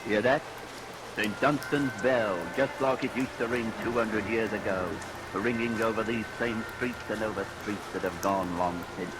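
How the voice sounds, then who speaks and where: A man's voice: lowish voice, unhurried, natural voice. An actor playing a Cockney London tour guide who relishes grisly tales in theatrical showman's patter. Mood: ominous relish. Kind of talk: vintage radio drama acting. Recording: vintage radio recording under rain noise.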